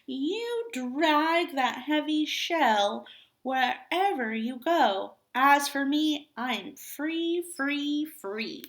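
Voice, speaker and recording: A woman voicing a boastful, mocking, energetic male jackrabbit in a children's story, with an emphatic delivery. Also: high voice, very slow, pitched above the performer's own voice, full character voice. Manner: bragging, emphatic.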